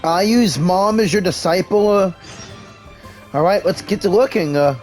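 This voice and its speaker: Slow, playful game commentator. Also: medium pitch, a little mellow. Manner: slow, playful, chatting to the characters. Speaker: let's-play host. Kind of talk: gameplay commentary. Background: game music.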